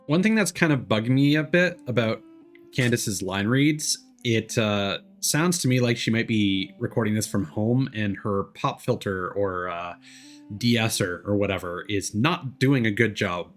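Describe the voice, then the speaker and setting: A man with a fairly low voice hosts a casual game stream. He talks hesitantly and digressively to viewers, with faint plucked guitar music behind him. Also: measured pace. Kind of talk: casual live-stream chat.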